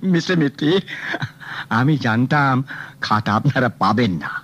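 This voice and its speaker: An actor playing a sly, scheming book collector who mocks and dodges a detective's questions. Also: male voice, medium pitch, expressive, unhurried, fairly bright tone. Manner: mocking, evasive, sly. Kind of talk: 1970s radio drama acting. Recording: dull old radio recording, faint music.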